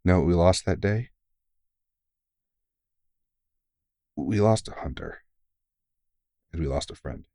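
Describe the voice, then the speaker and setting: Guy acting as tired old-guard vampire hunter, really deep voice, dry sound, kind n teasing, comforting asmr roleplay, swears a bit. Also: expressive, moderate pace, dry recording. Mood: somber, earnest. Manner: reassuring, gently teasing, informal, sweary. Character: kindly, weary veteran male vampire hunter mentoring a rookie. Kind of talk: intimate ASMR audio roleplay.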